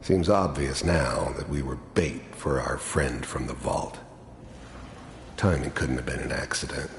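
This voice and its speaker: An actor playing a cynical, hardened middle-aged mercenary in a video game, with a gravelly voice and a wry, world-weary way of confiding. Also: male voice, exaggerated voice. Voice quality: gravelly, very deep. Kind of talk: video game character monologue. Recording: echoey hall reverb with background noise.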